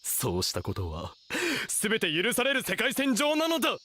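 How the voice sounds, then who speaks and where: A man's voice: high voice, huge pitch swings, normal speed, bright, forceful tone, exaggerated voice. A man playing a pompous, theatrical young self-styled mad scientist in an anime radio drama.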